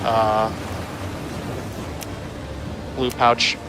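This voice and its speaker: A man's voice: lowish voice, flat delivery, extremely slow. A man giving casual livestream commentary on a game, rambling and thinking aloud as he plays. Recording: music behind the voice. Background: game music.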